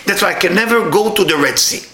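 A middle-aged man with an Israeli accent giving a spiritual lecture to a live audience. He speaks with non-native grammar and jumps up high when he acts out what other people said. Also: quick pace, sharp tone, echoey room.

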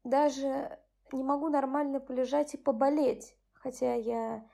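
A tired young woman hosting her own channel, speaking softly and subdued as she reads a bedtime story and chats about her day. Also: fairly even intonation, measured pace, gravelly. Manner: soft, subdued, low-key. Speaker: channel host. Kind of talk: bedtime story reading and personal chat.

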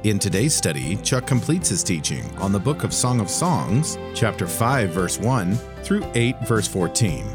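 A man delivers a scripted programme introduction in an announcer's style. His voice sits fairly low, and guitar music plays clearly behind him. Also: sing-song, measured pace, few pauses.

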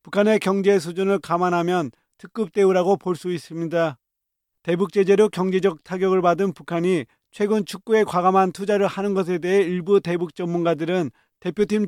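A male reporter reads a news item in a crisp, formal way. His voice is high and smooth, and the recording is dry and close. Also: a little mellow, no room sound.

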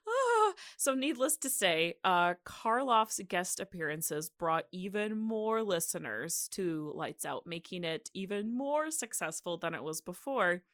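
Podcast host, young woman: lowish voice, loose conversational rambling, dry close sound. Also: very animated, measured pace, few pauses, fairly bright tone, no room sound. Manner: rambling, heavy use of "like". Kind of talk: casual podcast chat.

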